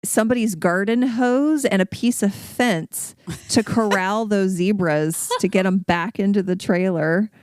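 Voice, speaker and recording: An American woman with a clear, smooth voice at a middling pitch. She chats and tells anecdotes casually as a podcast guest, recorded close and dry. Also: a little slow, a little mellow, no echo.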